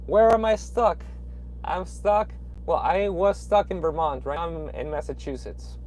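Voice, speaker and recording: A young man from Peru talks in his Peruvian Spanish accent, slightly high-pitched and full of hesitations and restarts as he answers viewer comments. He is sitting in his truck cab at night, with a faint engine hum behind him. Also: measured pace, a little mellow. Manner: rambling, hesitant, with frequent fillers and restarts. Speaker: truck driver livestreaming. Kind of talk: casual livestream chat reading viewer comments.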